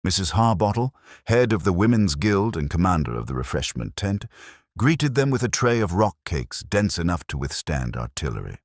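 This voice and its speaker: Very deep male narrator. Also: big pitch swings, no room sound, clean studio sound. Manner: measured, literary, wry. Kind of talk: scripted mystery audiobook narration.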